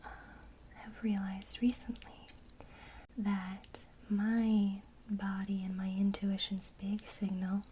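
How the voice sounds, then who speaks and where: A woman whispering softly and breathily in a gentle, encouraging way, as a teacher in an ASMR one-to-one lesson. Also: mid-range voice, completely flat delivery, slow pace, a little hiss or hum, rough recording.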